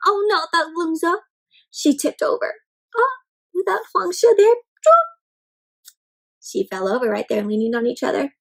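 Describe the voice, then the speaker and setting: A woman reading a picture book aloud to young children, animatedly addressing them with questions and pauses as she goes. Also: very high-pitched, expressive, creaky. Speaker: children's read-aloud storyteller.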